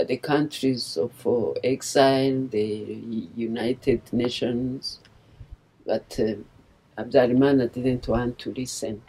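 Slow, low-voiced older Rwandan woman. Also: mellow, husky, poor audio. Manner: halting, deliberate, earnest. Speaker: interviewee, former liberation movement member. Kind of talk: personal testimony in an interview.

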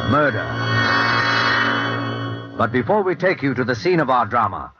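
An actor playing a mysterious, formal male host introducing a 1940s radio suspense drama in a deliberate manner. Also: mid-range voice, lightly acted. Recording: muffled vintage 1940s radio recording. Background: music.

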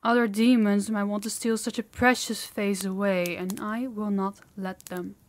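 A woman's voice: mid-range voice, level tone, normal speed, no room sound, natural voice. A woman playing a harsh, dominant demon in an intimate audio roleplay, commanding and menacing, often dropping toward a whisper. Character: harsh, dominant female demon training a human captive.

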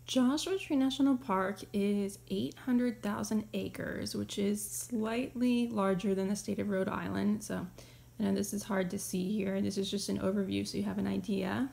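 A woman's voice: mid-range voice, fairly even intonation, moderate pace, gravelly, darkish tone. Casual woman vlogger, chatty. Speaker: travel vlogger. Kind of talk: casual chat and voiceover narration.

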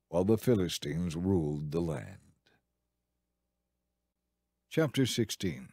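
A man reads scripted Bible narration as a measured storyteller. His voice sits a little low, with faint music behind it.

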